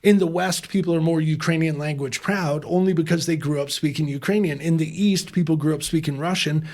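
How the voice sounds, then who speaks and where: An impassioned man in a sit-down conversation. He presses his points hard, circles back and restarts sentences as he builds. His voice sits a little high for a man. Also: steady tone, a little fast, darkish tone. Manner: impassioned, emphatic, with frequent restarts. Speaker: interview guest, former chef turned humanitarian. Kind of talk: conversational interview.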